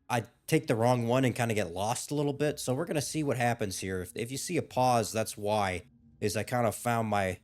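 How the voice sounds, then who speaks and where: A man's voice: mid-range voice, a little mellow. A man who is a little ill and losing his voice gives rambling, hesitant, casual commentary on his gameplay. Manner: rambling, hesitant, with frequent restarts and fillers. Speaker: gaming YouTuber.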